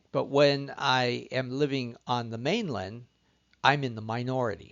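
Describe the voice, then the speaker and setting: A middle-aged man from Southern California, a course instructor introducing himself in a recorded lecture, measured and earnest with frequent pauses. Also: medium pitch, vocal fry, highs cut off.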